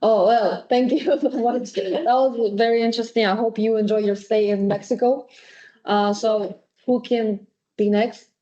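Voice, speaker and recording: A woman's voice: a little high, steady tone, unhurried, warm tone. A teenage girl hosting an online student panel, hesitant, with many fillers, on muffled video-call audio. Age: teens. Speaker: student host.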